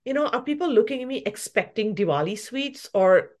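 A woman's voice: on the high side, lively intonation, a little fast. Reflective woman from Malaysia. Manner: reflective, laughs often while telling her story. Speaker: guest, pastry chef and shop owner. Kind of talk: casual interview conversation.

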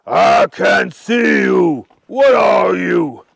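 A man voicing a big, gentle, forgetful dragon in a children's story, slow and drawn-out with booming exclamations. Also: expressive, creaky, dry recording, studio quality, heavily acted. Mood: startled, booming.

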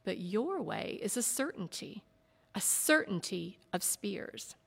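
Woman voicing a softly spoken, calm angel. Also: medium pitch, creaky, slightly rough, exaggerated voice. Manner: soft, quiet, slow. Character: calm gentle male angel. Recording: long reverb of a big hall.

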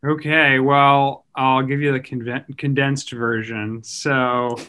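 Male, 30s, American. Researcher guest, conversational, very clean and bright tone. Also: mid-range voice, flat delivery, slow, clear, resonant tone. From United States. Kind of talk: casual conversational interview answer.

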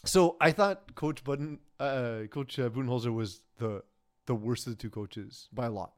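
A man co-hosting a casual sports talk show, rambling and laughing as he goes, often trailing off quietly. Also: mid-range voice, normal speed. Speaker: podcast co-host.

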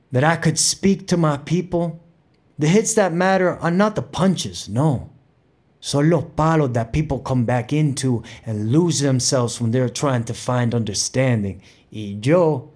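An actor playing a proud, fiery Puerto Rican bandleader from the Bronx, with a New York Puerto Rican accent, in an impassioned audio drama monologue that slips between English and Spanish. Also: male voice, on the high side.

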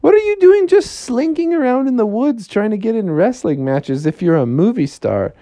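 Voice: medium pitch, very animated, normal speed, dark tone, dry recording, studio quality, pitched above the performer's own voice. A storyteller voicing a boastful male frog who calls himself a fearsome wrestling champion, in a bedtime story told to a child. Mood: awed. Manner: swaggering, bragging.

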